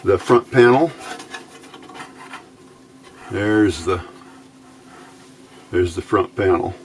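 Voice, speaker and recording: A man with a fairly low voice walks through a hands-on hobby build. His delivery is informal and plainspoken. He thinks aloud in a folksy way, like an electronics tinkerer showing his work. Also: extremely slow, a little mellow, slight background noise.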